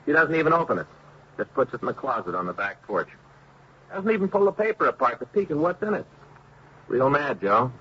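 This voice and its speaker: A man acting an earnest, fretful married police officer in a 1950s radio crime drama, with a husky voice and a homely, repetitive way of talking. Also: mid-range voice, very animated, a little fast, natural voice. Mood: worried. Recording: muffled old radio recording.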